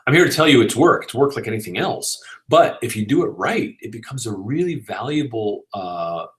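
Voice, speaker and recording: A man with a slightly raspy, tired voice, answering audience questions in a casual live Q&A about online business. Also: mid-range voice, normal speed. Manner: casual, energetic business coaching with frequent "you know" and "right?". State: slightly hoarse from long filming.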